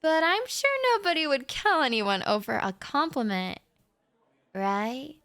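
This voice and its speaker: A young woman with a slightly high voice talks in a slow, unhurried way, shy and rambling as she speaks softly to the listener. Nervous laughs slip in between her thoughts, and the sound is close and dry with no room around it. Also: sing-song, no echo. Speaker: audio roleplay performer. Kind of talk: intimate audio roleplay monologue to the listener.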